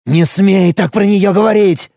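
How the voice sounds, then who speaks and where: A man's voice: high-pitched, expressive, brisk, vocal fry, darkish tone, no echo, studio quality, voice pushed up, heavily acted. A man voicing a jealous, menacing older brother who schemes desperately and pushes insistently in an audiobook story. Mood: furious. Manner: pressuring, insistent.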